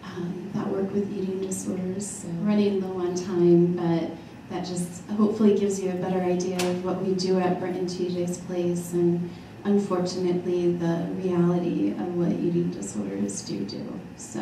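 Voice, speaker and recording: A soft-spoken woman in her thirties speaking earnestly on a college panel, with small laughs between points. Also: on the low side, fairly even intonation, normal speed, hardly any pauses, a little hiss or hum. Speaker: panelist, eating disorder therapist. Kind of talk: panel talk to an audience. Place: large hall at a college panel table. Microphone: handheld mic, heard from afar. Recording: echoey hall, distant mic.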